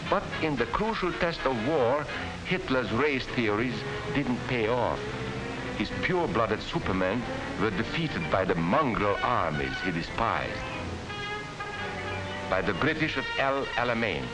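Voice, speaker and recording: A man's voice: mid-range voice, sing-song, a little slow, low-quality recording. A man narrating a 1940s educational film in a solemn, emphatic storytelling manner. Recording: 1940s film narration under loud music.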